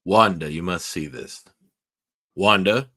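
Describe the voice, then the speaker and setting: A man's voice: low-pitched, takes it slowly, sharp tone, exaggerated voice. A man playing a grave, commanding mutant mastermind in a radio theatre read, solemn and imperious.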